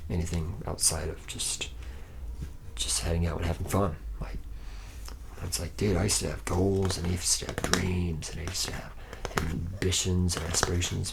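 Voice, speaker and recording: Casting: male actor as charming barfly robot mechanic, low gravelly voice, slangy rambler, intimate ASMR roleplay with noticeable noise. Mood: weary, wistful. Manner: rambling, slangy, buddy-buddy, slightly self-deprecating. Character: charismatic, boozy, slacker male robot mechanic, a womanizer. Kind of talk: improvised ASMR roleplay, addressing the listener as a trainee.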